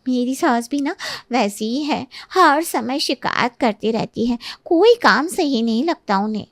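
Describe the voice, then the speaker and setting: Entitled young wife for cartoon fable: high voice, lazy, breezy, complaining manner. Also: female voice, animated, a little slow, no room sound. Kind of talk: Hindi animated moral story acting.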